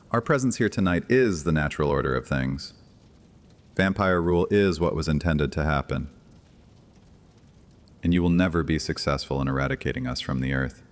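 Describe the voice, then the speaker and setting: An actor playing an ancient vampire high priest in an audio horror drama, grandiose, sardonic and taunting. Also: male voice. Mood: menacing. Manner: theatrical, sardonic, taunting, with casual asides. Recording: long cavernous reverb.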